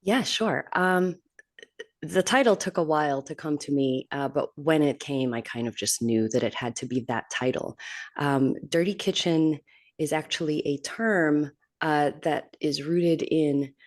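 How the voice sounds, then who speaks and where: Deep-voiced woman author explaining thoughtfully. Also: unhurried. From born in the Philippines and raised there to age nine. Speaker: author on a book panel. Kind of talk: explanatory panel talk.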